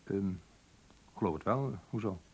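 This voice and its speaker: An actor in a radio play playing a brooding, guarded man, husky and reserved, speaking in a measured way. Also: lowish voice, expressive, darkish tone. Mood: guarded, uneasy. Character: brooding, guarded man recounting his diary. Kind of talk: radio drama narration and dialogue.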